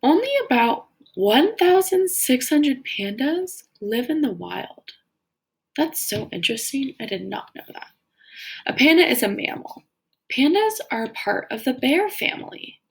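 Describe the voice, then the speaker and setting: Woman teacher reading aloud, very creaky voice. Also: high-pitched, big pitch swings. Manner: explanatory, pauses to wonder aloud and pose questions to young listeners. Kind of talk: educational read-aloud of a children's nonfiction book with think-aloud comments.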